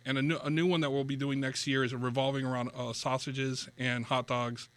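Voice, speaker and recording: A man's voice: mid-range voice, flat delivery, brisk, a bit bright. A man talking casually as a guest on a radio talk show. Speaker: food event organiser, radio guest. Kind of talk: casual interview chat.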